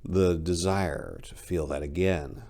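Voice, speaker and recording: A man reading his own short story aloud as a dramatic, expressive narration of literary horror. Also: sits low, slow pace, vocal fry.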